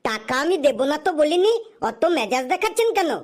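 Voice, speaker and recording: A woman's voice: sits high, very animated, a little fast. A woman voicing a cheeky, smart-mouthed young jobless man in a comic cartoon, with glib, teasing back-talk.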